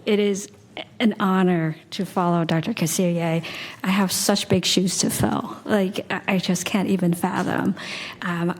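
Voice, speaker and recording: A woman's voice: lowish voice, a little mellow. Bubbly woman introducing herself. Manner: bubbly, laughing a little nervously. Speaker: incoming agency director. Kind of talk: impromptu self-introduction.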